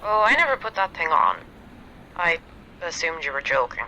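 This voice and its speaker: Male actor doing a sharp, bright, snooty female assassin with a gravelly edge. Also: a little high, very animated, moderate pace, slight background noise, voice pushed up, full character voice, poor audio. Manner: snooty, dismissive. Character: haughty young woman assassin, prickly and proud.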